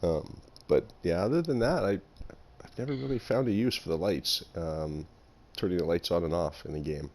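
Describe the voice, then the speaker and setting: Low-voiced man hosting a chatty interview. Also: moderate pace. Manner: warm, effusive, giggly. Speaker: podcast host. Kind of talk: casual interview.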